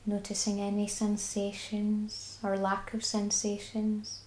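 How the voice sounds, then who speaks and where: A woman with a Scottish accent guiding a body scan meditation, speaking slowly and soothingly. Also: mid-range voice, completely flat delivery, roomy sound, rough recording. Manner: slow, soft and soothing. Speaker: mindfulness guide.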